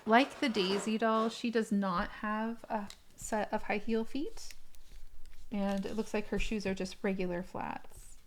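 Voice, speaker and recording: A woman's voice: medium pitch, fairly even intonation, a little slow, slight background noise. A woman giving a casual, unscripted unboxing review, describing small details in a hesitant, piece-by-piece way. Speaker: doll collector reviewing an unboxing.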